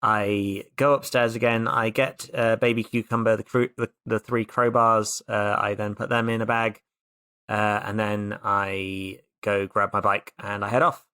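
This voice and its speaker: A man playing a tabletop roleplay, casually narrating his character's actions in a halting, playful way. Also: on the low side, flat delivery, pushed and bright, smooth. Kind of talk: improvised first-person game narration, casual.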